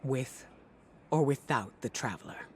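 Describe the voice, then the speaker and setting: Slow, measured, thoughtful mentor, acted by a man. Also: mid-range voice, a little hiss or hum, lightly acted. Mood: sober resolve. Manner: measured, gentle resolve, formal wording. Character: calm, thoughtful male mentor steadying a fighter. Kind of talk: dramatic game dialogue.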